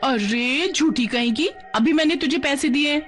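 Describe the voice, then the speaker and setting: A brazen auntie from a cartoon story acted by a woman, high-voiced and scornful. She scolds with sharp indignation while music plays underneath. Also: normal speed, close to an everyday voice. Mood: indignant. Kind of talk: Hindi cartoon story dubbing. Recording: music behind the voice.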